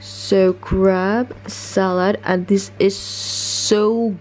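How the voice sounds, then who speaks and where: A pregnant young woman talks through a home cooking vlog at an unhurried, slow pace, loose and chatty with plenty of "um" and restarts. Her pitch sits mid-range. A faint room hiss sits behind her on the camera mic. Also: fairly even intonation, a little mellow, rough recording. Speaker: vlogger. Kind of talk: casual cooking vlog chat. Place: home kitchen and living room.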